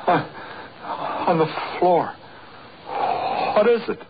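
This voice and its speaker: An actor with an American accent playing a frightened, hysterical, folksy sidekick in a 1930s radio horror drama. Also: male voice, sits high, animated, slow pace. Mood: uneasy. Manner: fearful, plain folksy speech. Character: frightened, hysterical male sidekick named Sam, uneducated and pleading. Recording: very noisy, muffled 1930s radio recording.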